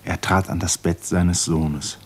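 Deep, husky male narrator. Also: fairly even intonation, measured pace. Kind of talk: scripted literary narration for radio drama.

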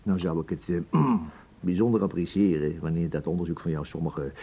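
An actor in a radio play voicing an imperious, blustering, evasive boss with a husky voice. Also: male voice, low voice, fairly even intonation, normal speed, warm tone. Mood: smooth, evasive. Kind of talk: Dutch radio play acting. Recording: dull lo-fi radio play recording.

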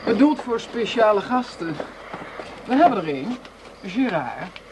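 An actress playing a cold, commanding criminal ringleader in a radio play, silky and mocking, on a noisy old recording. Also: female voice, medium pitch, sing-song, unhurried. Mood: mocking, menacing. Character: cold, menacing female criminal ringleader, seductive and cruel.

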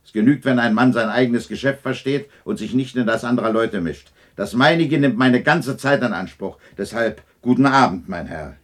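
A man's voice: mid-range voice, moderate pace, hardly any pauses, lightly acted. A man playing a hard-hearted, miserly old businessman in a radio drama, curt, scornful and dismissive. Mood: cold, dismissive. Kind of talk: 1960s German radio drama acting.

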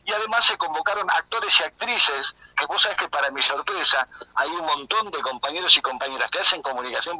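An Argentine man is being interviewed on the radio over what sounds like a phone line. His voice is very bright and sharp, at a medium pitch. He explains things at length, earnest and enthusiastic, often rephrasing and adding asides. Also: husky, no echo. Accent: Argentine Spanish. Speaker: interview guest, community radio communicator and project coordinator.

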